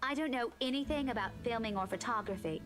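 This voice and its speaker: A woman's voice: fairly high pitch, quick pace, lightly acted. A woman voicing an earnest, encouraging young friend. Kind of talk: reading visual novel dialogue aloud. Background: background music.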